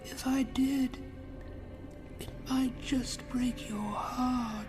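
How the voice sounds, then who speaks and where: A woman's voice: high voice, steady tone, over music. A woman playing a gloating, sadistic villainous jailer in an audio drama, savoring her words and dropping into whispers. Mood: taunting, relishing. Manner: slow, savoring, breathy whispers at phrase ends.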